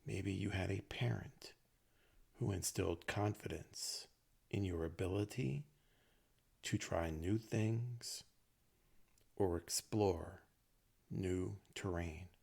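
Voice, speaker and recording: A low-voiced man guides a meditation in gentle, short phrases. His voice is husky and creaky, and he leaves long pauses between thoughts. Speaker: meditation teacher.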